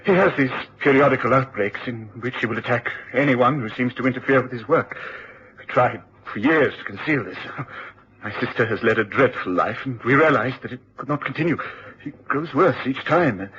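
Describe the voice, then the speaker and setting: An actor in a radio drama playing an anxious, pleading English lord with a nervous, halting delivery. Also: male voice, mid-range voice, a bit bright, lightly acted. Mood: grave, explaining. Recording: muffled old radio recording with hiss.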